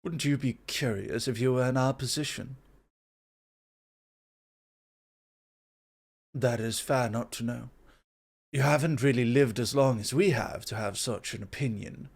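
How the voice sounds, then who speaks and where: A man playing a composed, intellectual god of foresight in an ASMR roleplay, formal, measured and gently superior. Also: mid-range voice, creaky, slightly rough. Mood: curious, measured.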